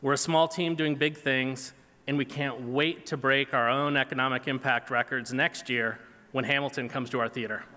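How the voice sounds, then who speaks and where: A man in his forties giving a prepared award acceptance speech from a podium in a large hall, earnest and measured. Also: medium pitch, steady tone, pushed and bright, slight background noise. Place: large banquet hall stage. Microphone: podium microphone. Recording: reverberant hall PA sound.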